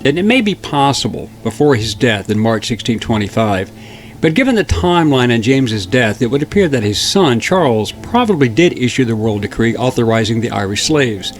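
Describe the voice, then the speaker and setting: A man narrating a historical documentary in a measured, steady reading. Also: medium pitch. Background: faint background music.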